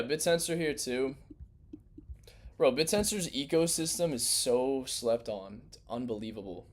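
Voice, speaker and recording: A young man hosting a crypto livestream in loose, rambling, slangy casual chat, his voice worn and going hoarse. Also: medium pitch, darkish tone. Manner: loose, rambling, slangy, restarts mid-thought. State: losing his voice.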